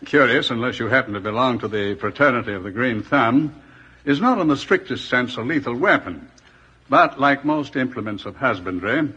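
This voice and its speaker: Pompous British superintendent, wordy radio narrator; bright, animated delivery on dull vintage audio. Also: male voice, mid-range voice, husky. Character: pompous police superintendent, custodian of a crime museum. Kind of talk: 1950s radio drama narration. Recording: dull old radio recording.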